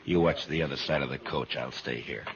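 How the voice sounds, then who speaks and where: An actor playing a steady, hard-bitten frontier marshal, terse and measured, in 1950s radio western style. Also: male voice, rumbling low. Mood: calm, commanding. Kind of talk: 1950s radio western acting and first-person narration. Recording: dull vintage 1950s radio recording.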